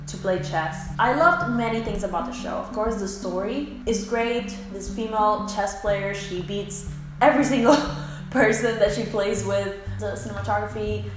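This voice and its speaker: A young woman from the who speaks English, chatting in a giggly, casual vlog style from her apartment. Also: mid-range voice. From Dominican Republic. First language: Spanish. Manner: chatty, giggly, quick asides. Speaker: vlogger. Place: apartment room. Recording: echoey room with background music.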